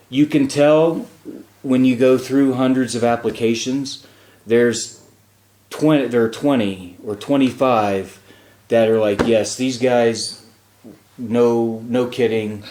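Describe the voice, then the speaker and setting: A man's voice: medium pitch, warm tone, a little hiss or hum. Chatty man in an echoey room. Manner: chatty and explanatory, with frequent fillers. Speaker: radio show host and diplomat. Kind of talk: casual talk show chat.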